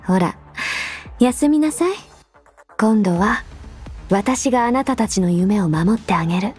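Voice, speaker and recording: A woman voicing a composed, gentle and protective sisterly figure in an anime game, soft-spoken and deliberate with a quietly teasing edge. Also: medium pitch, animated, husky. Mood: tender, confiding. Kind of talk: Japanese anime game voice lines, intimate delivery. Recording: background music under the voice. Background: music.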